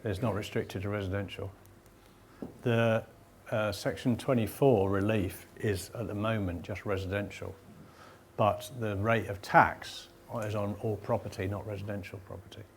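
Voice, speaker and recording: Seminar speaker, male, lowish pitch, blunt practical answers, light background hiss. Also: fairly even intonation, moderate pace. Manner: direct, plain-spoken, emphatic. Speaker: accountant presenting at a seminar. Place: seminar room.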